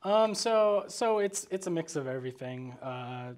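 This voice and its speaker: A man's voice: on the high side, lively intonation, a little slow, darkish tone. A man chatting casually about a film as a guest, explaining things in a loose, rambling way. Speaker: podcast guest, graphic designer.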